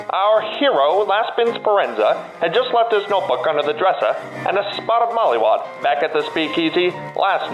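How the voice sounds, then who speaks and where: A man's voice: on the high side, lightly acted. A man playing a formal, theatrical narrator of an old-time radio serial. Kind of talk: 1930s radio show announcing. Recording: dull lo-fi echoey radio sound, loud music. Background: loud music.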